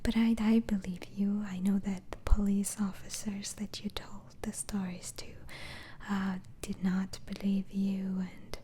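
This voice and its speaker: A woman whispering softly in a slow, hesitant, soothing ASMR roleplay as a gentle, reassuring doctor. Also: medium pitch, level tone, slight background noise. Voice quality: soft, breathy whisper.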